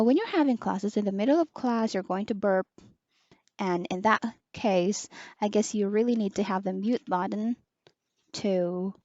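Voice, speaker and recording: A young woman with a soft, low voice, slowly reviewing a headset as an online teacher, pausing often with fillers. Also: no room sound, muffled recording. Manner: slow, hesitant, many pauses and fillers. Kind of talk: casual product review.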